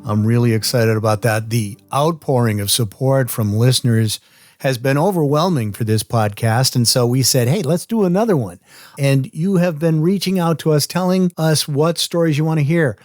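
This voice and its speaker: A man's voice: mid-range voice, darkish tone, no echo, studio quality, soft background music. A man hosting a podcast, chatty and upbeat, talking about voice and recording. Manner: upbeat, chatty, spells out names slowly. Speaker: podcast host. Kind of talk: casual podcast interview. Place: recording studio.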